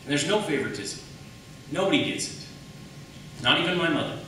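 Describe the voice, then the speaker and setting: A man's voice: a little high, lively intonation, a little fast, a bit bright. A man giving an emphatic political debate speech as a candidate, driving points home with repetition and rhetorical questions. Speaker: candidate for county attorney. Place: large echoey room at a public debate. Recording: echoey room, distant mic, faint noise.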